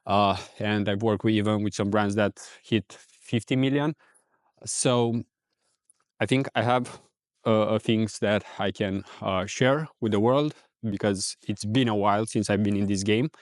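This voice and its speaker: A man from Romania with a Romanian accent, talking casually in a reflective, rambling vlog with many pauses and fillers. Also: fairly low pitch, no echo, clean studio sound. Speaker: ecommerce vlogger.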